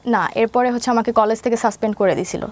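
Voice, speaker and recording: A woman's voice: on the high side, fast, slight background noise. A young woman with a Bangladeshi accent, giving a personal account as an interview guest and mixing in English words. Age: young adult. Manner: mixes English words into her speech.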